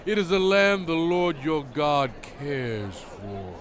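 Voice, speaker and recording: A man playing a solemn, commanding biblical prophet in a dramatized scripture reading, speaking in a measured, emphatic, exhorting way. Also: on the high side, big pitch swings. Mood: earnest, exhorting. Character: solemn commanding male biblical prophet addressing his people.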